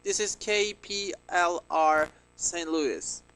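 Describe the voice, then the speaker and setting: A man's voice: high-pitched, slow pace, a bit bright, smooth, rough recording. A man reading a scripted emergency broadcast announcement in a formal manner.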